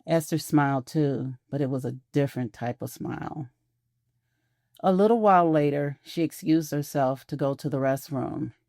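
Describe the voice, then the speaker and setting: A woman narrating an audio drama in a measured, intimate storytelling manner. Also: deep voice, darkish tone, no room sound, clean studio sound.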